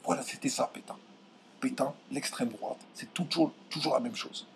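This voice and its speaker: Heated young guy from france venting to camera, trips over words, goes shrill when he's mad, bit of background hiss. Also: moderate pace, creaky. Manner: indignant, voice jumps high when outraged, many restarts. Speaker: video commentator. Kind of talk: heated direct-to-camera rant.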